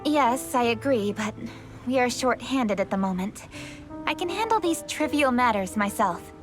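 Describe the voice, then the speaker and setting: A woman's voice: on the high side, lively intonation, normal speed, music in the background, lightly acted. An actress voicing a dutiful, confident young noblewoman official in a video game, formal and composed. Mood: composed, resolute.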